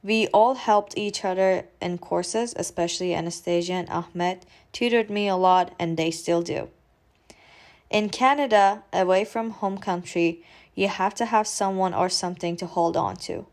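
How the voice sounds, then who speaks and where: A woman's voice: medium pitch, measured pace, darkish tone. A woman in her twenties from Turkey with a Turkish accent, narrating a personal vlog in an earnest, careful way with some hesitant fillers. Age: 20s. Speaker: student vlogger.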